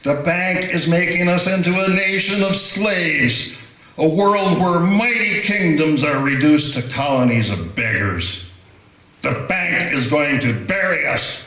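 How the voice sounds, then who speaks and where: A man voicing a strange, calm prisoner who speaks in cryptic, preachy riddles in a radio play. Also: on the high side, a little slow, echoey room, rough recording.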